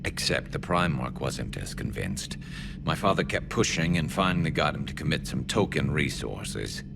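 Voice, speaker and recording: An actor playing a seasoned, loyal alien military officer in a video game, gravelly and measured, with dry understatement. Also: male voice, very deep voice, big pitch swings, background noise, full character voice. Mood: wry, resolute. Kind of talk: video game dialogue acting. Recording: voice over loud ship ambience.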